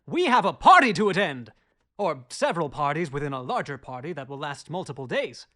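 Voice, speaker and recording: A man's voice: fairly high pitch, very animated, fairly quick, a bit bright. A man playing an exuberant, romantic young French aristocrat who tells his tale theatrically in an audio drama. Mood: eager, lighthearted. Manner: theatrical, effusive. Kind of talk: audio drama acting and narration.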